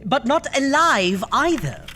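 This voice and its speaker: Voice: high-pitched, very animated, unhurried, sharp tone, a little hiss or hum, exaggerated voice. A performer playing a flamboyant undead doctor who hosts a campy horror radio show with theatrical, mock-sweet delivery. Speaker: host.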